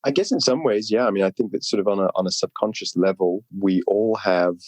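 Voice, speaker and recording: A reflective man with a deep, low voice talks through his ideas in a relaxed interview. He qualifies his thoughts constantly as he goes. The sound is close and dry, with no room around it. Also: a little fast, no room sound. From United Kingdom. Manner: reflective, hedges often with "sort of". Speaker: film writer-director, guest. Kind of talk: casual interview conversation.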